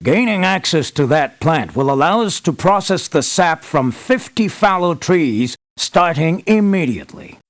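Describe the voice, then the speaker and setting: An actor playing an earnest, measured male leader giving formal, deliberate briefings in a scripted video game. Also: on the high side, lively intonation. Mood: measured, approving. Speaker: mission briefer.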